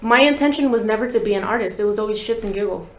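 Woman, 30s, mid-pitched, casual slangy storyteller, musician guest, roomy studio. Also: fast talker. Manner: slangy, animated storytelling with quoted dialogue. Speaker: guest musician, talk box player. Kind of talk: casual interview chat, telling anecdotes. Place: small podcast studio, indoors. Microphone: desk microphone.

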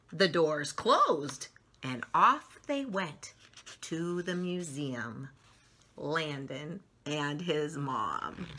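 A middle-aged woman reading a story aloud for children, expressively and with drawn-out emphasis on words. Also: low-pitched, pushed and bright, husky. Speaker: children's story channel host reading picture books aloud. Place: small furnished room at home, indoors.

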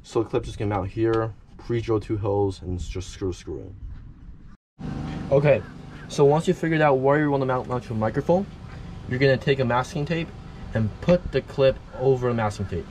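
A man's voice: mid-range voice, moderate pace, darkish tone. A man giving a casual, step-by-step how-to narration as he works with his hands. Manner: plain instructional, talking while working with his hands. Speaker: host of a DIY boat how-to video. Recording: clearly noisy on-location recording.

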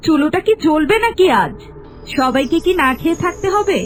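A woman playing a cruel, bossy young daughter-in-law in a cartoon folk tale, scolding with sharp sarcasm. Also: very high voice, expressive, fairly quick, slight background noise.

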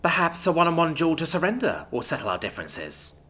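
A man's voice: a little high, big pitch swings, a little fast, bright, forceful tone, faint music behind, pitched above the performer's own voice. A man voicing a cool, resolute young woman who is protective of her friends, in an audiobook. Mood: coldly determined. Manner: cold, resolute.

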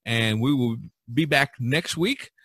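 A male podcast host talks casually and encouragingly. His voice sits at an ordinary pitch and is recorded dry and close, with no room sound. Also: slow pace, fairly bright tone, no room sound.

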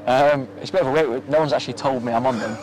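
A young man, a footballer, giving a soft-spoken post-match interview outdoors at a floodlit ground at night, with many ums and restarts, into a handheld mic. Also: mid-range voice, fairly even intonation, very fast, low-quality recording. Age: young adult. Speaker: professional footballer. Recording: outdoor ambience with faint noise.

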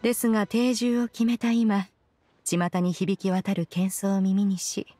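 Actress as ageless game immortal woman: breathy-edged mid voice, stately and formal, old-fashioned diction. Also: medium pitch, little pitch movement, measured pace, mellow, no room sound, faint music behind, natural voice. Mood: reflective. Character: ancient female adeptus, dignified, aloof, archaic. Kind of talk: Japanese anime game voice acting.

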